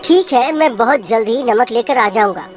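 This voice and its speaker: A woman's voice: on the high side, expressive, normal speed, lightly acted. A woman playing an earnest, exasperated merchant man in a children's moral tale, exclaiming and scolding as the donkey's owner. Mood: concerned. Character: caring but exasperated male salt merchant, donkey's owner. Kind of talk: animated storybook character acting. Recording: music behind the voice.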